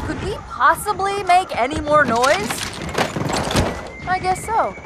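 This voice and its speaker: A woman voicing a quick-witted, confident female artificial intelligence in a video game, crisp and wry. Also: very high-pitched, animated, heavy background noise, full character voice. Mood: wry alertness.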